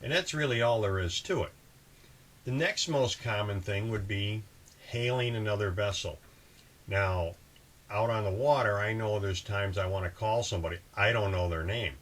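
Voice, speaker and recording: A man's voice: on the low side, moderate pace. A man hosting a boating video, explaining procedures in a casual, conversational instructional style. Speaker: host of a boating channel. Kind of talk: casual instructional talk with example radio calls. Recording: clear steady background ambient noise.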